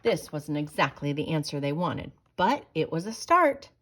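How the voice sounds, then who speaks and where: A woman narrates a children's story aloud. Her voice sits a little low but moves around a lot, with expressive, deliberate phrasing made for young listeners. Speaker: storybook reader.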